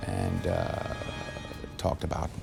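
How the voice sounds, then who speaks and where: A man's voice: takes it slowly, loud music behind the voice. A man interviewed for a film feature, speaking earnestly and often repeating words as he thinks. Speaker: actor interviewee. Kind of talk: behind-the-scenes interview.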